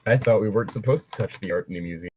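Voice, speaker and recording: A man's voice: on the low side, very fast, darkish tone, breathy edge, dry recording, low-quality recording. A man reading fan fiction aloud casually and breaking in with sarcastic, crude wisecracks. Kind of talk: casual read-aloud with joking commentary.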